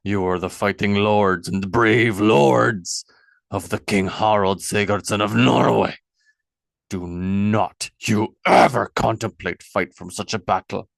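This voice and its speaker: A man voicing a bold, commanding Norse warrior king who stresses each word loudly. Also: fairly low pitch, steady tone, unhurried, gravelly, no room sound. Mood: defiant.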